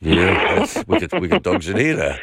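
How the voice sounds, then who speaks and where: A man's voice: low voice, huge pitch swings, normal speed, fairly bright tone, breathy edge, no room sound, clean studio sound. A man with an Australian accent hosting late-night talkback radio, chatting and reading listener texts aloud. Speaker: radio host.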